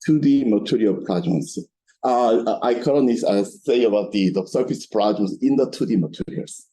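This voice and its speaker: A man giving a technical seminar lecture in halting, repetitive non-native phrasing. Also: fairly low pitch, dark tone, low-quality recording. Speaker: lecturer, researcher. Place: seminar room. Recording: lecture room with clear background noise.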